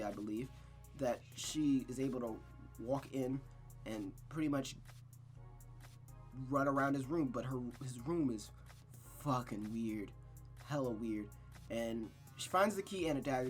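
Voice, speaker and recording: A man's voice: mid-range voice, a bit bright. A man streaming a visual novel, moving between narrated reading and casual, slangy, emphatic talk. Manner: slangy, exclamatory, emphatic repetition. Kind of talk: narrated reading and casual, profane recap chat.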